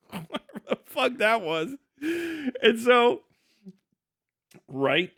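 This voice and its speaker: High-voiced man on a crude livestream rant. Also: very animated, very slow, fairly bright tone, slightly rough, dry recording, studio quality. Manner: crude, profane, mocking storytelling; laughs often while talking. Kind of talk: casual unscripted livestream commentary.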